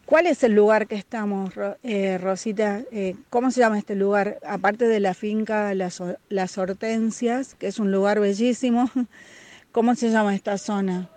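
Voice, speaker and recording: A woman's voice: mid-range voice, fairly even intonation, measured pace, darkish tone, no room sound. A woman interviewing a guest for a radio show in an informal way, using affectionate diminutives.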